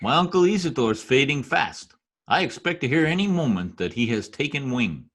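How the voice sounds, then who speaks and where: A man acting as a scheming, politely menacing political climber in a radio drama, formal and insinuating, with a husky voice. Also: mid-range voice, sing-song, moderate pace, a bit bright.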